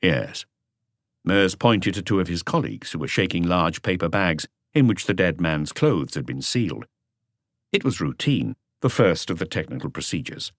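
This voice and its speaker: A man reading a detective story as an audiobook narrator, in a measured, unhurried way. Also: deep voice, expressive, dry recording, clean studio sound.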